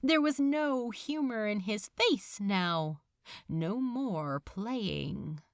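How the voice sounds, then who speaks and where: Slow, expressive woman narrator, very dry sound. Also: mid-range voice, dry recording. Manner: expressive, suspenseful, deliberate. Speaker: fan fiction narrator. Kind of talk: dramatic scripted narration.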